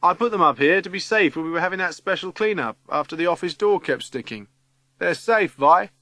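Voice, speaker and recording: A man's voice: sits high, lively intonation, brisk, pushed and bright, no echo, studio quality, pitched above the performer's own voice, exaggerated voice. A man voicing an older, colloquial workman husband calling out in a storybook reading. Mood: excited, relieved.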